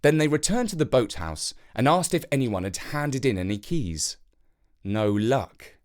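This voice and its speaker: Storyteller, medium-pitched, very animated, expressive children's narration, deliberate phrasing.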